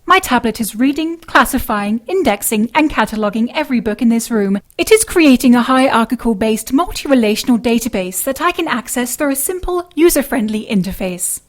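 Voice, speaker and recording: An actress with a British accent playing a tech-savvy, teasing partner in an audio drama, speaking precisely and dryly. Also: female voice, a little high, expressive, a little fast, hardly any pauses, natural voice. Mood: smug, brisk.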